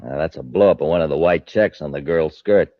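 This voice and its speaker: An actor playing a smug, scheming, boastful crook in an old-time radio space serial. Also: male voice, extremely low pitch, brisk, no room sound. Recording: dull lo-fi old radio recording.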